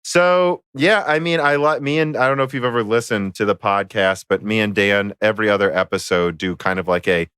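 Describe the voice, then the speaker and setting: A man's voice: lowish voice, fairly quick, few pauses, a bit bright, smooth, no room sound, clean studio sound. An American man hosting a casual tech podcast, chatty and rambling with frequent fillers. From United States. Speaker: podcast host.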